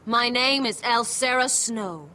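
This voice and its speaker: A woman's voice: on the high side, pushed and bright, slight background noise. A wary wildling woman. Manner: measured, probing. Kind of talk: video game voice acting. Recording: game audio with music underneath.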